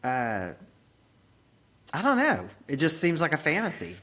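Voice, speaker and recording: A woman's voice: deep voice, huge pitch swings, measured pace, fairly bright tone. Chatty goofy woman in her fifties. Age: 50s. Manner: chatty and goofy, often laughing at herself. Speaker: podcast host sharing her grief. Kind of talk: casual conversation.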